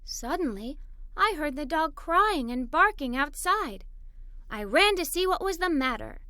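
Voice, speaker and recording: A woman plays an eager, imaginative little girl narrating her own storybook adventures. The voice is high and bright, with animated, swooping intonation. The delivery is expressive and enthusiastic, made for young listeners. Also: a little slow, no echo, studio quality, heavily acted. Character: Molly, excited adventurous little girl telling her day. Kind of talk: children's read-aloud story narration.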